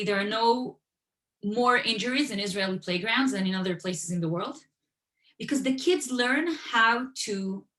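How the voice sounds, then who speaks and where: A woman's voice: mid-range voice, fairly bright tone. A woman who grew up in Western Europe, speaking as an author and guest at an online event, telling stories and explaining with everyday examples. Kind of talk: conversational talk at an online event. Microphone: video call. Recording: echoey room.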